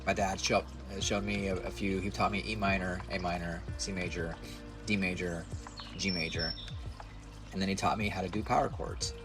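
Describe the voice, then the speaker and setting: A man's voice: deep voice, little pitch movement, normal speed, music in the background. A man in his forties with a nasal voice, chatting casually to the camera as a musician and content creator. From United States. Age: 40s. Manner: rambling, self-deprecating, chatty.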